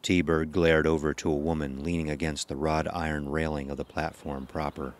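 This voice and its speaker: Extremely deep-voiced male horror narrator. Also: flat delivery, normal speed, slight background noise. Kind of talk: scripted horror story narration.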